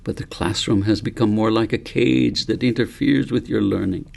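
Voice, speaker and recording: A wise storybook elephant read by a man teaches in a low, gravelly voice. He is firm and earnest, an adamant mentor who lays out each point deliberately, with a husky grain to his speech. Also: fairly even intonation, darkish tone. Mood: stern, critical. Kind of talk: storybook character read by the author.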